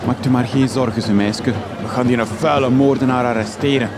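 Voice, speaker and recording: An actor with a Flemish accent playing an indignant, naive young star journalist in a gothic comedy radio drama, melodramatic and accusing. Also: male voice, mid-range voice, fairly even intonation, brisk, background noise, natural voice. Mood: self-satisfied. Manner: melodramatic, accusing, stacks up clichés. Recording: loud music under the voice.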